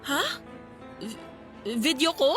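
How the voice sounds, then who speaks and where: Fed-up young female student, high and sharp, curt and indignant, radio drama. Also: slow pace, soft background music. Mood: alarmed. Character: exasperated young female student, guarded and firm. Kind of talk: Filipino radio drama acting.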